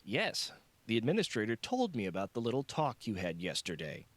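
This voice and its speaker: A man's voice: on the low side, huge pitch swings, brisk, dry recording. Man doing a snide, arrogant senior scientist. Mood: irritated, snide. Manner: snide, condescending, curt. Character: arrogant, dismissive, sarcastic middle-aged male senior scientist. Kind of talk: audiobook character dialogue.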